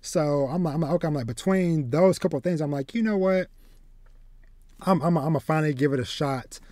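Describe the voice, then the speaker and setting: A man in his thirties chatting casually as a podcast host, haltingly, often repeating words as he goes. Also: fairly high pitch, darkish tone, dry recording, studio quality. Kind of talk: casual chat about manga and anime. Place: small home room with bookshelves.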